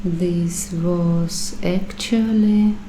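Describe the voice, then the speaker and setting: A woman acting as a paranoid doomsday prepper in an ASMR roleplay, speaking extremely slowly in a lowish, hushed voice. She is earnest and deliberate, leaving long pauses, with clear noise behind her. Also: fairly even intonation. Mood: probing, approving. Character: paranoid waitress turned doomsday prepper interviewing bunker roommates. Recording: clearly audible background noise.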